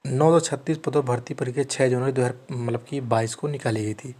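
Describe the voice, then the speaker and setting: A man's voice: mid-range voice, fairly even intonation, fast talker, warm tone. Man explaining news informally. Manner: repeatedly rephrases and checks understanding, teacherly. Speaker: channel host explaining recruitment news. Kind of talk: informal explainer, reading news aloud and commenting.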